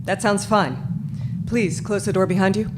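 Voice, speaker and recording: A woman's voice: medium pitch, moderate pace, close to an everyday voice. Woman acting a composed, wistful captain, noisy stage recording. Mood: resigned, wistful. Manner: composed, formal. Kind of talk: stage radio drama acting. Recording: live stage with background noise.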